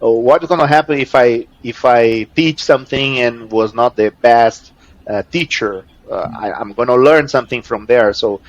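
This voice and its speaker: A man's voice: mid-range voice, moderate pace, lo-fi sound. A man from Brazil with a Brazilian accent and Portuguese as his first language, answering casually in an interview, repeating words as he searches for them. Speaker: interview guest, jiu-jitsu athlete.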